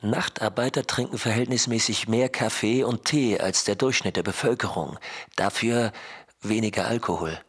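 A man's voice: lowish voice, moderate pace, slightly rough. A man playing an overtired night-shift hospital worker in a radio play, speaking in a clipped, matter-of-fact clinical report style. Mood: dry, clinical.

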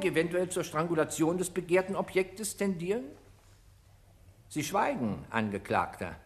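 A haughty male prosecutor in a radio courtroom drama. His high voice swings widely in pitch as he lays on biting irony and theatrical, rhetorical pauses. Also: fairly bright tone, heavily acted. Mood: sneering, probing. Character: sarcastic, haughty male public prosecutor hounding the accused. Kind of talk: German radio crime play acting, courtroom oratory.

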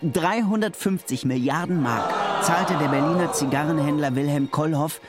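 A man's voice: on the high side, normal speed, loud music behind the voice, lightly acted. An actor playing a male podcast co-host, an eager trivia buff chatting in a good-natured, earnest way. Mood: eager, knowing. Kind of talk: scripted banter imitating casual podcast chat.

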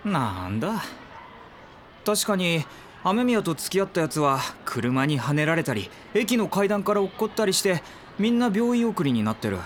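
A high, bouncy voice from a blunt teenage classmate in an audio drama, telling gossip flatly and frankly. A faint hiss lies under the lines. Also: male voice, normal speed. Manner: blunt, matter-of-fact gossip.

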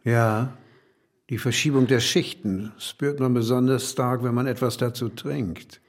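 An actor playing an enigmatic, insistent man in an audio drama, speaking deliberately with formal politeness and calm authority. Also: medium pitch. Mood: calmly commanding. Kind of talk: German audio drama acting.

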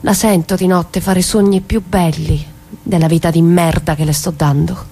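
A woman's voice: on the low side, fairly even intonation, normal speed, mellow, husky. An actress playing a weary, embittered mother living in hiding, in a theatrical dramatic monologue. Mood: weary, sorrowful.

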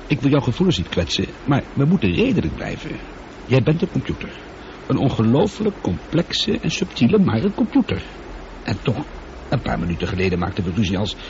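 An actor the playing a lonely, prickly space traveller in a radio play, speaking emphatically and with some exasperation. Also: male voice, medium pitch, creaky. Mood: agitated, reasoning. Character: lonely, prickly male space traveller arguing with his computer. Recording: dull noisy old radio play recording.

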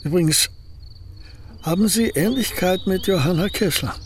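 Old poet, curt, guarded, slightly high voice, faint insect ambience. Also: male voice, moderate pace, a little hiss or hum. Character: aging reclusive male poet, dry and aloof. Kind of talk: radio play acting.

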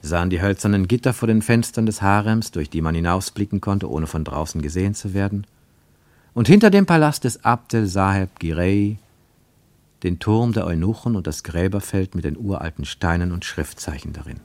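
A man reading a literary story as a scripted radio narration, with expressive, dramatic phrasing. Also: low voice, normal speed, no echo.

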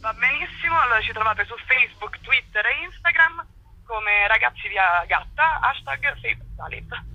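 A woman's voice: a little high, lively intonation, moderate pace, sharp tone, muffled recording. A young woman speaking conversationally as a radio interview guest, explaining things while searching for words. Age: young adult. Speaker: cultural association spokesperson, interview guest.

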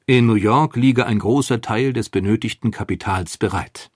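A man giving steady, scripted documentary narration. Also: fairly low pitch, normal speed, no echo, clean studio sound.

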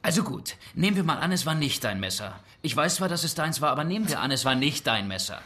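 A bullying hustler who leans on people for money. His voice is bright and sharp, swinging lively up and down as his temper flares. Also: a little high, fast talker. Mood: menacing. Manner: pushy, bullying, bursts into shouting. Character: threatening Harlem hustler, hot-tempered. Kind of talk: radio play acting.

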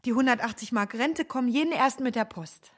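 An actress playing a resolute young widow, proud, tender and plainspoken, in a radio drama. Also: female voice, on the high side, a little fast, close to an everyday voice. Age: young adult.

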